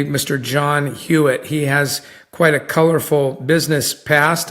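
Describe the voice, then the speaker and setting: A man's voice: on the high side, fairly bright tone. A man hosting a podcast interview, chatting casually and rambling with lots of fillers and restarts. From United States. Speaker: podcast host.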